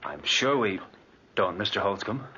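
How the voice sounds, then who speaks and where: An actor playing a polite, dutiful young nephew with a deferential manner, in 1940s radio drama style. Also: male voice, fairly low pitch, lively intonation, unhurried, sharp tone, a little hiss or hum. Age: young adult. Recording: dull vintage 1940s radio recording.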